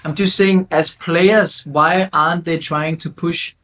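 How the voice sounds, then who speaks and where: A man's voice: on the high side, steady tone, slow, a bit bright, a little hiss or hum. A man on a group video call, earnestly asking questions and making his point in casual chat. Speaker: guest.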